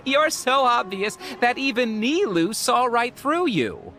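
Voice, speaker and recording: A woman's voice: high voice, a bit bright, exaggerated voice. A woman voicing a friendly, playful, chatty theater troupe member in a video game. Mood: teasing. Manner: chatty, teasing. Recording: background music.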